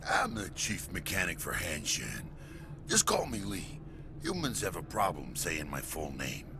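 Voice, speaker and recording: A man's voice: sits low, huge pitch swings, measured pace, full character voice. A man playing a chatty alien chief mechanic in a video game, with an informal, wry way of talking. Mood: wry, friendly. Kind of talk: video game dialogue acting. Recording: clear background ambient noise.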